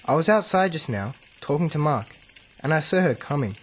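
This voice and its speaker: A woman's voice: deep voice, animated, brisk, slightly rough. A woman playing a shy, lovesick teenage younger brother in a radio drama, with hesitant, reluctant short answers. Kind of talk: radio drama acting for English learners.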